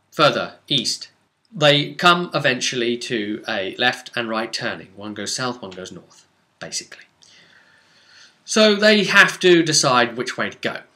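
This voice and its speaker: A man's voice: mid-range voice, pushed and bright. A man casually recapping a tabletop role-playing session as game master, explaining conversationally with dramatic asides.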